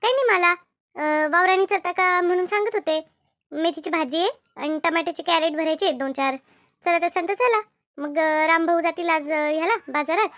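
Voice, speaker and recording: A woman's voice: very high-pitched, normal speed, a bit bright, no room sound. A woman playing a busy, talkative village housewife in a comedy cartoon, chatting colloquially with a rural Maharashtrian accent. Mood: explaining. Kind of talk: animated comedy cartoon dialogue.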